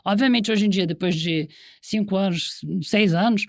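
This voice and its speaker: A woman's voice: mid-range voice, moderate pace, dry recording, studio quality. A woman hosting a conversational podcast interview, speaking emphatically and repeating words for stress. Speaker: podcast host.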